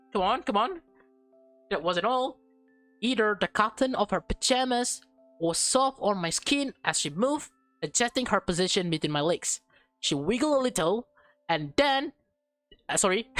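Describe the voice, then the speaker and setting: A woman streamer reads a visual novel aloud at a slow pace, tripping over and repeating words. She drops in excitable reactions of her own. The sound is dry, with faint music underneath. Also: mid-range voice, dry recording. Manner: stumbles and repeats words while reading. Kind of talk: reading game text aloud with excited asides.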